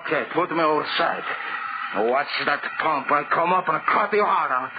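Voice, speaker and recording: A man's voice: a little high, moderate pace, close to an everyday voice. Man acting a greedy, hot-tempered diver, noisy old radio. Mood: angry, bossy. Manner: broken English, barking orders, muttering to himself. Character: greedy, short-tempered male deep-sea diver obsessed with gold. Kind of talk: 1940s radio horror acting. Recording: noisy, muffled 1940s radio broadcast.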